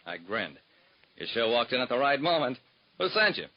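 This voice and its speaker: A man's voice: mid-range voice, sing-song, normal speed. An actor playing a private detective who narrates his own cases in wry, hard-boiled first person, in 1940s radio drama style, on a dull lo-fi old recording.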